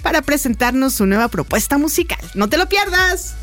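A woman's voice: high voice, very animated, normal speed, fairly bright tone, faint music behind. A woman with a Mexican accent hosting a radio show, delivering an upbeat scripted introduction.

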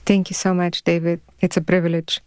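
A woman in her fifties from Bulgaria, speaking with a Bulgarian accent, answers interview questions in a measured, thoughtful way. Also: lowish voice, flat delivery, clean tone, no echo. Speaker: radio guest.

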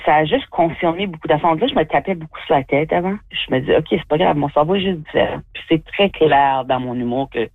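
A woman's voice: deep voice, fast, hardly any pauses, no room sound. Chatty woman on a tinny line. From New Brunswick, Canada. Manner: chatty and effusive, with many fillers. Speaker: comedian and guest. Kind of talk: interview answers.

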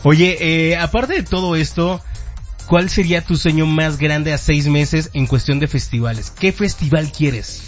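Playful Mexican radio host, slangy. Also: male voice, fairly high pitch, measured pace, lo-fi sound, faint music behind. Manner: playful, slangy, laughs easily. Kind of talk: casual interview chat.

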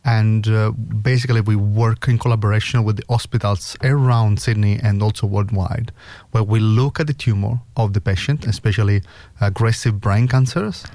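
A man's voice: lowish voice, fairly even intonation, moderate pace, hardly any pauses, warm tone, no echo. A middle-aged man with a strong Italian accent, a researcher interviewed as a guest, speaking earnestly with many hesitations. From Italy (Sicily).

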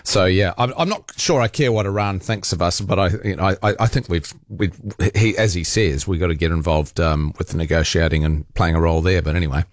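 A man's voice: low voice, dry recording, clean studio sound. A man hosting talkback radio, chatting casually with callers. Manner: relaxed, chatty, takes calls with quick greetings.

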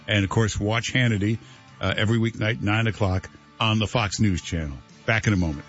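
A man's voice: on the low side, fairly quick, muffled recording, over loud music. A male radio host, teasing his co-host in talk show banter. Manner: brusque teasing.

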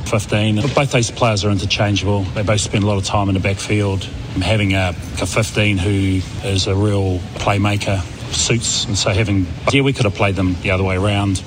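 A rugby coach speaks at a press conference, thinking aloud with halting restarts. His low voice comes through over a clearly noisy recording, with room ambience behind him.

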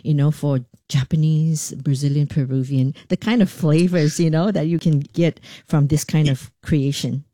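A woman's voice: deep voice, steady tone, normal speed, hardly any pauses, warm tone, no room sound. A woman interviewer putting questions in a conversational way.

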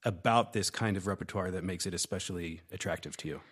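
A man hosting a classical music radio show and playing piano, speaking in a measured, encouraging way. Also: low voice, a bit bright, breathy edge, dry recording. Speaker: radio show host and pianist. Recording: dry studio sound.

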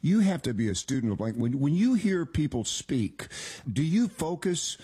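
A man's voice: fairly high pitch, big pitch swings, normal speed, mellow, slightly rough. A man hosting a radio interview, rambling and stumbling over his words with many fillers. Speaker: radio host.

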